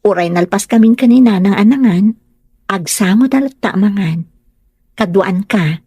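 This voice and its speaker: Woman acting a bright, caring young fiancée. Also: medium pitch, moderate pace, breathy edge, dry recording, clean studio sound, close to an everyday voice. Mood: solicitous. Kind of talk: Ilocano radio-style drama dialogue.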